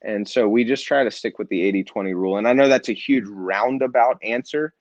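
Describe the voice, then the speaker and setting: Earnest low-voiced man telling a story. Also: brisk, dry recording, lo-fi sound. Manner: earnest, animated storyteller who acts out quoted dialogue. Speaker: nonprofit founder and CEO. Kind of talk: storytelling in a podcast interview.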